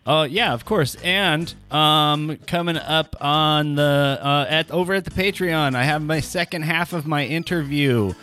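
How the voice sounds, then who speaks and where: A man's voice: on the high side, unhurried, few pauses, pushed and bright. Casual, rambling male podcast co-host. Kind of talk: casual banter.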